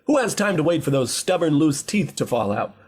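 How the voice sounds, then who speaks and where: A man playing a slick, cheery old-time radio pitchman in a parody commercial, with a husky voice. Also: very animated, a little fast, darkish tone, exaggerated voice. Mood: upbeat, selling.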